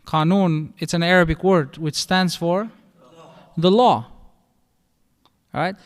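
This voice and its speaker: Middle-aged Albanian-accented male imam, somewhat high and smooth-voiced, explaining patiently in a reverberant mosque hall. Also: steady tone, slow pace. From northern Albania. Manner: explanatory, conversational teaching with restarts. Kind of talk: religious lecture.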